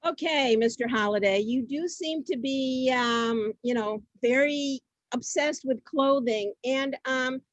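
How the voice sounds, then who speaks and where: A woman's voice: high voice, slow, no echo, muffled recording. A woman hosting an amateur comic readers' theater, moving between scripted lines and ad-libs. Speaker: host of a comic contest.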